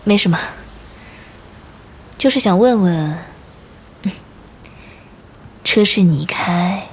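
A woman's voice: lowish voice, animated, extremely slow, a little mellow, a little hiss or hum. An actress playing a guarded, devoted woman in a modern audio drama, with a restrained and tender delivery. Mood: calm, gentle. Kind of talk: modern Chinese radio drama acting. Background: music.

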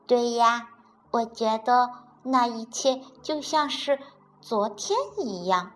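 Woman doing a excitable rabbit boy, storybook, high and eager, slow. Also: soft background music. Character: lively excitable male rabbit, the frog's friend. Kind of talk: children's storybook reading.

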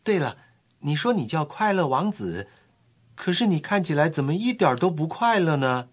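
A man voicing a small, kind-hearted swallow in an expressive, exclamatory way for a children's audio storybook. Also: a little high, normal speed. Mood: curious.

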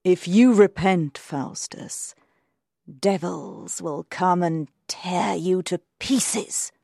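A woman's voice: on the low side, very slow, gravelly, dark tone, no room sound, studio quality, heavily acted. A woman voicing a cold, threatening bad angel in a storybook, with a whispery voice.

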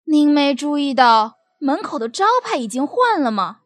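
An actress as an officious young hotel employee in a radio drama. She is a woman with a markedly high voice. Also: very animated, moderate pace. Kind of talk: Mandarin audio drama acting.

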